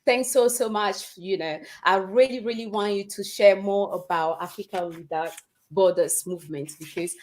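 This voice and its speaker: A woman's voice: mid-range voice, moderate pace, darkish tone. A woman hosting a livestream interview, speaking in an enthusiastic, encouraging way. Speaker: livestream host.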